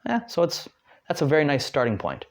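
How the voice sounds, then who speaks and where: Chatty American man, slightly high voice. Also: wildly swooping pitch, brisk. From Detroit, United States. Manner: chatty, many fillers and restarts. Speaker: guest language learner. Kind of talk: casual spoken lesson.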